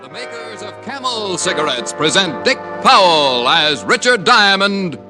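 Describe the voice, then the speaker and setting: Brassy music under high male radio announcer. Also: sing-song, unhurried. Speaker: show-opening announcer. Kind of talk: 1940s-50s radio announcing.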